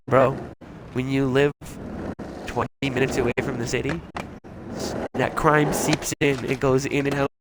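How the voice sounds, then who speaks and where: A man's voice: medium pitch, level tone, a little slow, long pauses. A young man, from the suburbs, rambling crudely and profanely while streaming a game. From Chicago suburbs, USA. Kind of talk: casual rambling chat while gaming. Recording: loud background noise. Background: game sounds.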